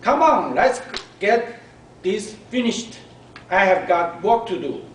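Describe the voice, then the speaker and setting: A man voicing a busy, impatient mother in a children's storybook, brisk and emphatic. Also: sits high, expressive, fairly bright tone, pitched above the performer's own voice, exaggerated voice. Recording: echoey room, distant mic, background noise.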